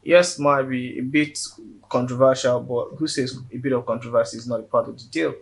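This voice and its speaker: A man's voice: medium pitch, steady tone, fairly quick, gravelly. A man with a Nigerian accent, a guest in a casual interview, speaking earnestly and often repeating words as he builds his point.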